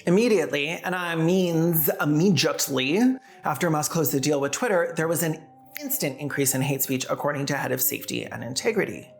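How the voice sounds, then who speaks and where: A woman's voice: sits low, a little fast, vocal fry, faint music behind. A woman narrating scripted video commentary in a sardonic way, with casual asides. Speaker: video essayist and host.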